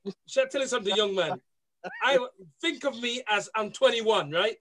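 A man's voice: high-pitched, animated, moderate pace, a bit bright, rough recording. A man on a talk show panel, playful and teasing, often repeating himself. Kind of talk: casual panel chat.

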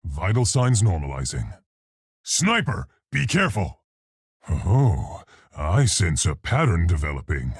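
An actor playing a big, earnest, awkward scientist gorilla in a video game, with a gravelly, husky voice and deliberate, scholarly phrasing. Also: male voice, sits low, wildly swooping pitch, no echo, studio quality, heavily acted. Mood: urgent, commanding. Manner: deliberate, precise, scholarly, hesitant. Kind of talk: video game character voice lines.

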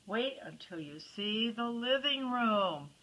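Wry storybook dad, read by a bright-voiced woman. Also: a little high, animated, takes it slowly, exaggerated voice, rough recording.

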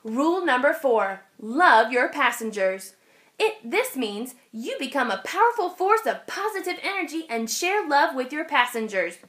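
A woman teacher reading a children's storybook aloud, expressively and with clear phrasing for young listeners. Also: sits high, big pitch swings, normal speed, a bit bright. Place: indoors, over an open picture book.

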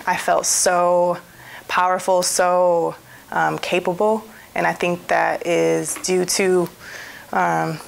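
Gravelly low-pitched young American woman. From United States (Milwaukee, Wisconsin). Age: 20s. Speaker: medical student. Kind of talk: personal testimonial to camera. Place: small indoor room. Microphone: lapel mic.

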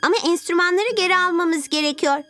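Girl owl superhero: spirited, assertive, extremely high and bright, faint cartoon music behind. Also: quick pace. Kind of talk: dubbed children's cartoon acting.

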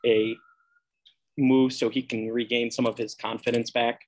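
A man's voice: mid-range voice, little pitch movement, normal speed, a little hiss or hum. A man, a sports reporter, putting questions at a press conference.

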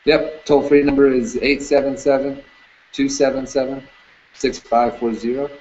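A man in his thirties, a security camera business owner, talks casually in an interview over a video call, relaxed, with many fillers. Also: mid-range voice, normal speed. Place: indoor room with curtains. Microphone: webcam on video call. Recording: dull lo-fi video call audio, faint noise.

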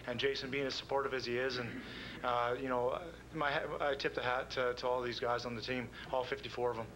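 A man answers postgame questions in a flat but bright, forward voice, halting with fillers. Light room noise sits behind. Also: mid-range voice, poor audio. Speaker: football player. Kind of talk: postgame interview.

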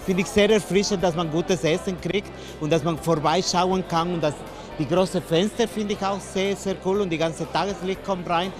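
A man with a Swiss German accent speaking in an animated, gushing way into a handheld mic at a crowded venue. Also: sits high, normal speed, over music.

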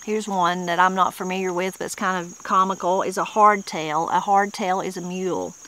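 A woman's voice: lowish voice, steady tone, normal speed, bright, forceful tone, a little hiss or hum, low-quality recording. A middle-aged woman with a Southern Appalachian accent, casually explaining old mountain words outdoors in a wooded yard. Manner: homespun and plain-spoken, with frequent restarts. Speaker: channel host. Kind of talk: casual explanatory talk reading dictionary entries.

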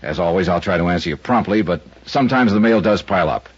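Brief: earnest radio star addressing listeners, low raspy voice, old broadcast. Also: male voice, animated, quick pace, no room sound. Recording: dull old radio recording.

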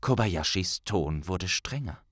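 A man reading a scripted story as a dramatic audiobook narrator, deliberate and suspenseful. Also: low voice, expressive, no echo, clean studio sound.

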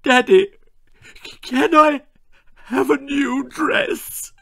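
A man's voice: very high-pitched, expressive, very slow, bright, forceful tone, dry recording, voice pushed up, heavily acted. A man voicing a sobbing spoiled little girl. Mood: whining tantrum. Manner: sobbing, whining, wailing. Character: spoiled manipulative little girl, whiny, crying.

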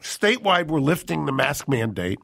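Older man, fairly high voice, indignant, rhetorical questions, studio podcast mic. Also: very animated, normal speed, vocal fry, a bit bright. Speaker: co-host. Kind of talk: casual podcast talk. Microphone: studio boom mic with headphones.

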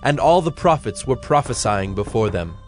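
Mid-pitched man narrating over music. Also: big pitch swings. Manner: measured, formal reading. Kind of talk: scripted Bible narration.

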